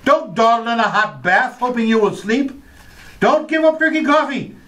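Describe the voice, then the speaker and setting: An actor playing a grandiose, florid poet who declaims doggerel theatrically in an old-time radio comedy. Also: male voice, extremely high pitch, animated, normal speed, pushed and bright, heavily acted. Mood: declaiming, jaunty.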